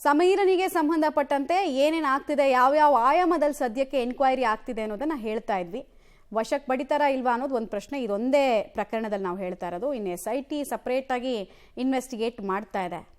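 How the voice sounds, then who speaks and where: A woman's voice: high voice, fairly quick, smooth. A woman with a Karnataka accent anchoring live news, speaking emphatically and putting questions to reporters.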